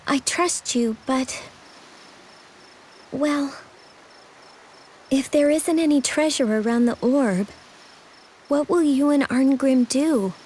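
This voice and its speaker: A timid young princess in a fantasy game, with a high voice and slow, halting delivery. She sounds gentle and earnest, over faint night ambience. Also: slight background noise. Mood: hesitant, worried. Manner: hesitant, halting. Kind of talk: video game voice acting.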